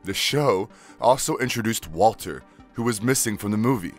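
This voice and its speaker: A man's voice: on the low side, animated, moderate pace, faint music behind. A man reading a scripted recap as the narrator of a video.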